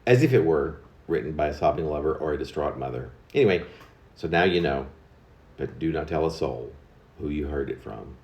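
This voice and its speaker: A man's voice: rumbling low, lively intonation, brisk, darkish tone, reverberant. A man reciting his own humorous narrative poem in a wry, confiding way, like a storyteller passing on gossip. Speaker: poet reading own work.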